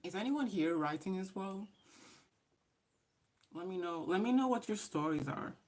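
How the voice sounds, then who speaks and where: A woman's voice: on the low side, expressive, normal speed. A woman from northern Portugal with a Portuguese accent, chatting casually with viewers during a writing livestream. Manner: soft-spoken, chatty, answers comments. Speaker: livestream host.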